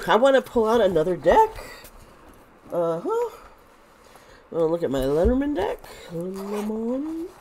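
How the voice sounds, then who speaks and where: A woman's voice: fairly low pitch, mellow, slight background noise. A woman with a congested, fading voice, doing a casual tarot reading to camera, rambling with frequent restarts and fillers. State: congested, losing her voice. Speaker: tarot reader.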